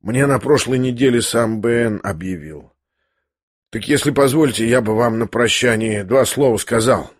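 A man reading an audiobook, voicing a young man who is dying, speaking quietly and hoarsely in a weary, ironic calm. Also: medium pitch, dry recording, studio quality, natural voice. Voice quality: hoarse, near whisper. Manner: slow, weary, faintly mocking calm.

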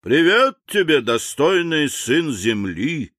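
A man's voice: mid-range voice, very animated, unhurried, sharp tone, no echo, studio quality. A man voicing a commanding, hot-tempered general with a curt, imperious bark, in an audiobook story. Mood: grand greeting.

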